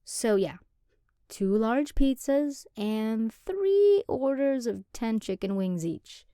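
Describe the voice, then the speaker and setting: A woman's voice: on the high side, animated, takes it slowly, mellow, smooth, dry recording. A young woman voicing an affectionate, chatty female demon girlfriend in an ASMR roleplay, rambling and sometimes dropping to a whisper, close to the mic. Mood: playful.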